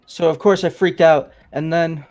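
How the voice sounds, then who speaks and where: A man's voice: on the high side, steady tone, normal speed, vocal fry, a little mellow, faint music behind. A man playing a nervous young confessor. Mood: anxious. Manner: rambling, defensive storytelling. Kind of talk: fan voice acting of a game.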